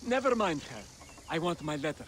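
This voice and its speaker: An actor playing a fussy, demanding older scholar in a video game drama, with an expressive, peremptory way of talking. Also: male voice, a little high, a little fast, slight background noise. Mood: impatient.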